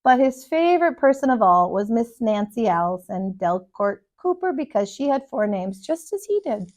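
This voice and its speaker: A woman reading a children's storybook aloud with deliberate, emphatic, expressive storytelling. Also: fairly high pitch. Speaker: storybook reader, kindergarten teacher.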